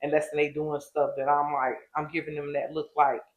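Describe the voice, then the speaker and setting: A woman co-hosting a casual interview, relaxed and laughing as she tells her own stories. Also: low-pitched, moderate pace, dull audio. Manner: relaxed, laughing, easygoing storyteller. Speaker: co-host.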